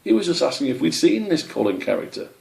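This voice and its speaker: A man's voice: fairly high pitch, sing-song, fast, vocal fry, darkish tone, pitched above the performer's own voice, exaggerated voice. A man voicing an argumentative, nitpicking male hedgehog in a children's storybook. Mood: bickering, exasperated. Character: bickering, pedantic, literal-minded male hedgehog. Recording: roomy, echoey sound.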